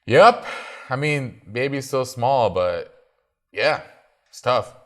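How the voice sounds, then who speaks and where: A man's voice: medium pitch, expressive, slow. A young father co-hosting a casual talk show, laid-back and slangy. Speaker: co-host. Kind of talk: casual podcast chat.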